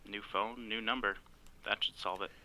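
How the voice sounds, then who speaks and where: An actor playing a sardonic, bossy best friend in a naturalistic audio drama, wry and exasperated. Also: male voice, lowish voice, steady tone, normal speed, bright, forceful tone, slight background noise, low-quality recording. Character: sardonic, bossy male best friend named Larry, cellphone company worker.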